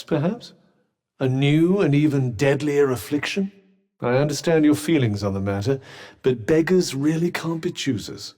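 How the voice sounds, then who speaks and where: A man's voice: fairly high pitch, darkish tone. A man with a British accent voicing a haughty, sardonic magical cuff in a video game, speaking with dry, articulate understatement. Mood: wry, measured. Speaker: voice actor. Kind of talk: video game companion dialogue.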